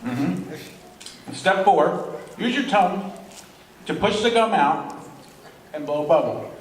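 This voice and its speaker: A man acting an eager, daft food-fad reviewer in a radio comedy skit. His voice is high and swings freely, and it comes from off the mic in an echoey room. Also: animated, slow. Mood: cheerfully oblivious. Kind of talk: amateur old-time radio show skit. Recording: echoey room, distant mic, faint noise.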